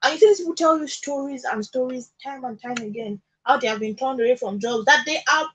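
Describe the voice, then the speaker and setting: A woman's voice: high voice, quick pace, a bit bright, slight background noise, low-quality recording. A Nigerian woman speaking as a radio guest in conversational advocacy, emphatic, repeating her points and checking that she is understood. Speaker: radio guest discussing social issues. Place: radio studio.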